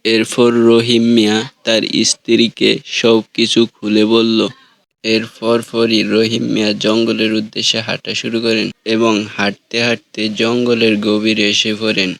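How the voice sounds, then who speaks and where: A man's voice: lowish voice, monotone, measured pace, creaky, fairly bright tone, dry recording. A man narrating a scripted cartoon story.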